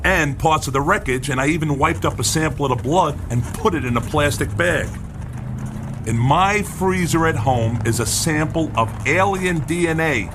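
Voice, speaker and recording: A man's voice: on the high side, normal speed, background noise, natural voice. An actor playing an earnest, impatient male writer in a radio drama, with an insistent, matter-of-fact, clipped delivery. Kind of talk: radio drama acting, conversational.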